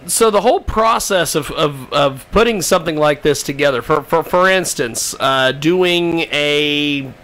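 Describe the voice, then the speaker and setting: A man hosting a casual live radio interview, halting and repeating words as he starts his sentences. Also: fairly high pitch, fairly bright tone. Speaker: radio show host.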